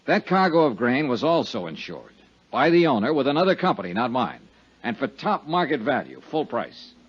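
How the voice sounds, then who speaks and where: A man's voice: mid-range voice, big pitch swings, normal speed, slightly rough. An American man playing a hard-boiled, brooding and self-assured insurance investigator in a 1950s radio detective drama. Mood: insistent. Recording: dull, muffled 1950s radio recording.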